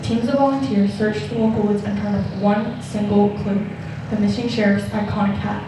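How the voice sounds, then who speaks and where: Actress as level, flat-toned news reporter, distant echoey stage. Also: female voice, medium pitch, moderate pace, natural voice. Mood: steady. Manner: even, newsreader delivery. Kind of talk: scripted news report in a play. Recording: echoey theater stage from far off, noisy.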